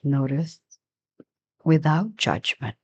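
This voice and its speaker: A woman leading a guided meditation as a teacher, giving gentle, unhurried instructions with long pauses. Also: low-pitched, gravelly, husky, highs cut off.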